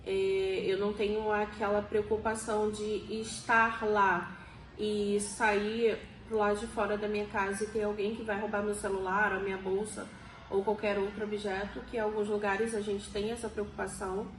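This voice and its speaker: A woman's voice: mid-range voice, little pitch movement, normal speed. A forty-year-old Brazilian woman with a Rio de Janeiro accent, explaining things conversationally as an interviewee and often checking in with little tag questions. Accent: Rio de Janeiro Brazilian Portuguese. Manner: explanatory, frequently seeks agreement with tag questions. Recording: echoey room, faint background noise.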